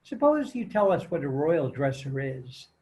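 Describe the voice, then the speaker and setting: A man's voice: on the high side, very animated, moderate pace, a little mellow. A man playing a courteous, formal old-time radio host in an amateur table read. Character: formal male 1930s radio host and announcer.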